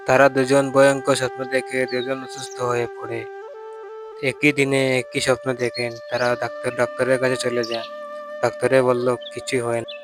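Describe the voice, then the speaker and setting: A man's voice: mid-range voice, completely flat delivery, moderate pace, music in the background. A man narrating a scripted cartoon story.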